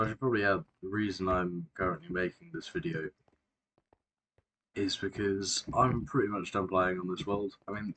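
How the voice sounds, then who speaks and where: Low-voiced guy with a sore throat, rambly game tour, bit of hiss. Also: sharp tone, rough recording. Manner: rambling, self-correcting, many fillers. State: sore throat, clearing throat and coughing. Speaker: Minecraft video creator. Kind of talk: casual gameplay narration.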